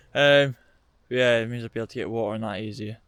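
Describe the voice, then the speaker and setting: A man's voice: mid-range voice, fairly quick. A young man with a cold and a nasal voice, chatting casually to camera in an offhand way. He records in his car and then outdoors in frozen woods. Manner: relaxed, offhand, says "bloody" a lot. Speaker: vlogger. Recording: noisy background.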